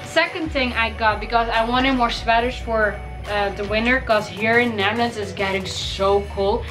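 A woman's voice: fairly high pitch, normal speed, over music, rough recording. A young woman from the Caribbean, chatty and upbeat, talking through a shopping haul in a bedroom while she has a cold. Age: young adult. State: has a cold, voice may crack. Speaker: fashion vlogger. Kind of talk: casual shopping haul vlog. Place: bedroom, indoors.

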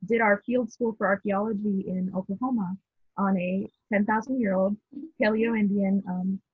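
Husky woman on a tinny video call. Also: medium pitch, fairly even intonation, moderate pace, no room sound. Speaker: guest, shop co-owner. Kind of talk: casual video call interview. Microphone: shared video call. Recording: tinny phone-like call audio.

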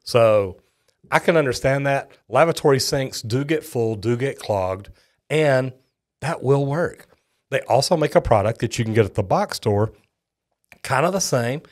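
A man's voice: mid-range voice, expressive, a little slow. A man, a plumber casually reacting to and trying out home hacks in a plainspoken, practical way. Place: studio set and a small restroom. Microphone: boom mic on desk and lapel mic.

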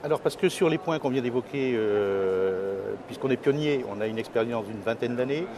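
A man in his fifties giving hesitant, measured interview answers as a company executive. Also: medium pitch. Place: trade fair interview stand, indoors. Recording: clearly noisy exhibition hall ambience.

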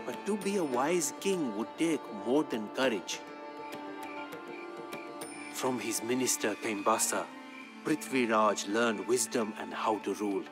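An actor playing a court poet who solemnly tells his king's legend in a measured, grave, dramatic storytelling style for a game. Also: lowish voice, close to an everyday voice. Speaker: narrator. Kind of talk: dramatic scripted storytelling narration. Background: background music.

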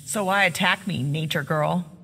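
A woman's voice: fairly low pitch, moderate pace, creaky, sharp tone. An actress playing a tough, defiant soldier mother, curt and combative, in a video game. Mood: wry. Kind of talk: video game fight banter. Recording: faint game ambience noise.

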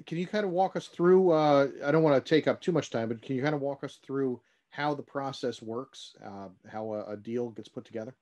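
A man in his fifties hosts an interview over a video call. He asks long, halting questions full of fillers. His voice sits in an ordinary middle pitch. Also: a little mellow. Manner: hesitant, many fillers. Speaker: interviewer host.

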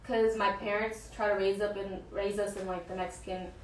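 Brief: young woman, chatty and searching for words, mid-pitched, distant mic in a roomy space. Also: little pitch movement, normal speed, a little hiss or hum. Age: young adult. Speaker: guest, pro soccer player. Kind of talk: casual interview conversation.

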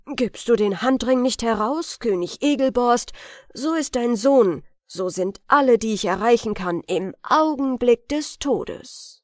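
A woman voicing a brave young boy in a fairy tale, firm, loud and demanding. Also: on the high side, animated, a little slow, dry recording, clean studio sound, voice pushed up. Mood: threatening. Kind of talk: fairy-tale audiobook reading.

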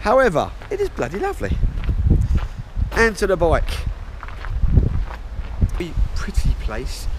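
A man's voice: high-pitched, huge pitch swings, measured pace, pauses often. A man in his forties giving a chatty, down-to-earth travel vlog, filmed on an action camera held at arm's length outdoors. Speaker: motorcycle vlogger. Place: outdoors, hotel grounds and ferry deck. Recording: outdoor camera with clear background noise.

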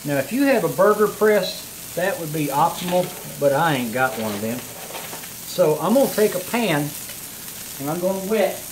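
A man's voice: a little high, expressive, moderate pace, background noise. Folksy man cooking over sizzle. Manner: folksy, chatty, talks while cooking. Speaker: home cooking show host. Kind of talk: casual cooking demonstration. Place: home kitchen countertop. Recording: sizzling frying pan behind.

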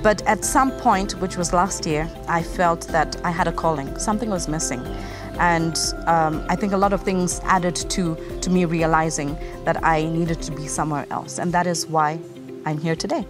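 A woman in her thirties from Kenya talks to camera in a garden, relaxed and chatty, laughing easily. Her voice sits a little low. Clear background music runs under her speech. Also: moderate pace. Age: 30s. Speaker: company co-founder. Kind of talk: casual presentation to camera. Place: outdoors in a garden. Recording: music playing behind.

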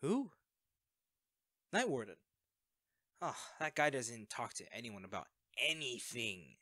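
Man playing a sheepish, animated young superhero. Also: medium pitch, takes it slowly, no echo, clean studio sound, full character voice. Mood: teasing. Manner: hesitant, sighing, sheepish. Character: young male superhero, secretly the Blur, sheepish and caring best friend. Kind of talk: audio roleplay acting, conversational.

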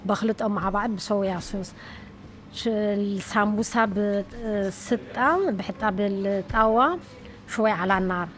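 A woman's voice: mid-range voice, quick pace. A woman informally explaining cooking step by step, listing things in plain short phrases.

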